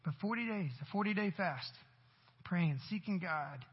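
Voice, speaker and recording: Male pastor, 40s, husky and high-pitched, conversational sermon with emphatic peaks; long hall reverb, dull recording. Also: moderate pace. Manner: conversational preaching with rising emphatic bursts. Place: church sanctuary.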